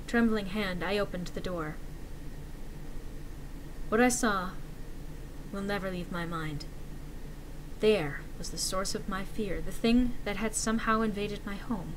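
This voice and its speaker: A woman voicing a frightened young man who recounts a horror encounter in a theatrical, deliberate dramatic reading. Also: medium pitch, background noise, natural voice.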